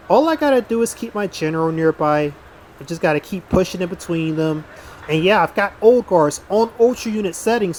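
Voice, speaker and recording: A man's voice: high voice, a little hiss or hum. Blunt, rambling male gameplay commentator. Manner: casual, blunt, rambling with frequent restarts and swearing. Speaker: gaming YouTuber.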